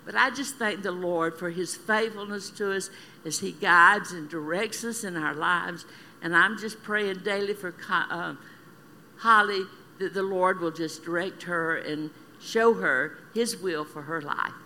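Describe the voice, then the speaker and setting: An older woman gives a church testimony at an unhurried pace, her voice bright and ringing. She laughs often as she talks. Her voice carries a long hall reverb over faint ambience. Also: lowish voice, a little hiss or hum. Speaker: missionary speaker.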